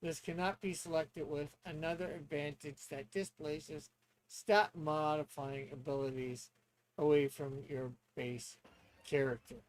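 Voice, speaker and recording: A man in casual stream talk, sometimes reading rules aloud, hesitant and halting as he thinks out loud. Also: on the high side, fairly even intonation, poor audio. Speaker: streamer and tabletop player.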